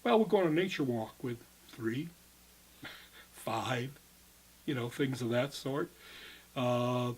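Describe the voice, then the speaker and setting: Older man, husky voice, retired cave guide, halting and digressive interview answers. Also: medium pitch. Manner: rambling, halting, self-correcting. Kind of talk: oral history interview.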